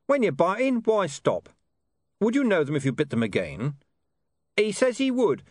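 A man's voice: high voice, wildly swooping pitch, moderate pace, a little mellow, dry recording, clean studio sound, voice pushed up, full character voice. A man voicing a streetwise talking dog who fumbles for words in an audiobook. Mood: wry. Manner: gruff, hesitant, searching for words.

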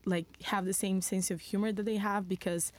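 A young woman from Brazil whose first language is Portuguese, speaking reflectively in a podcast conversation with many pauses and fillers. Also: medium pitch, steady tone, darkish tone.

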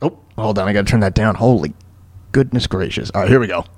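A man's voice: on the low side, quick pace, a little hiss or hum. A man hosting a talk radio show, commenting casually in a rambling, exclamatory way and repeating his phrases.

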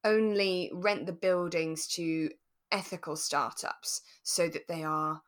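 A woman co-hosting a podcast in relaxed, casual chat, talking in a chatty, thoughtful way with plenty of qualifying. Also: on the low side, takes it slowly. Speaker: podcast co-host. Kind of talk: relaxed casual chat reviewing a radio drama.

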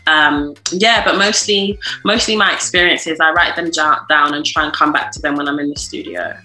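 A woman's voice: low voice, fairly even intonation, normal speed, pushed and bright, echoey room, soft background music, low-quality recording. A woman musician answering interview questions in a chatty, casual way with many fillers. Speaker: musician guest.

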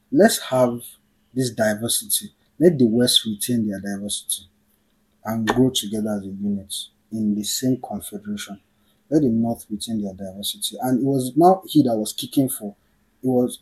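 Mellow husky man talking politics. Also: medium pitch, poor audio. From Nigeria. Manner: thoughtful, self-correcting, trails off quietly. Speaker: podcast host. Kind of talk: casual political chat.

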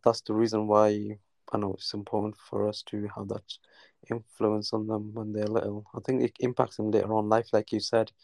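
Low gravelly man chatting casually. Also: no room sound. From England (Newcastle). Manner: halting, many restarts and fillers. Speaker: guest caller. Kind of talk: casual chat.

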